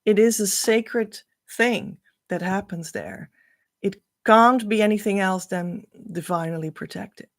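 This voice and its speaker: Woman, slow unhurried speech, gravelly fry, dry close sound, earnest encouraging coach. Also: mid-range voice, dry recording. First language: Dutch. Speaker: spiritual coach and card reader. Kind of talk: casual card-reading monologue.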